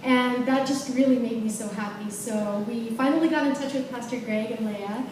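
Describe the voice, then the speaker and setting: A woman's voice: on the high side, moderate pace, a little mellow, slight background noise. Chatty woman speaking in an echoey church hall. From Philippines. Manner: chatty, laughing, self-deprecating. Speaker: guest speaker. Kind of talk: personal testimony. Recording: echoey hall, distant mic.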